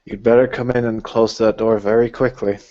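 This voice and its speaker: A man playing a stern female warrior smith, with a terse, deliberate, questioning manner. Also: on the low side, level tone, dark tone, highs cut off, lightly acted. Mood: stern, wary.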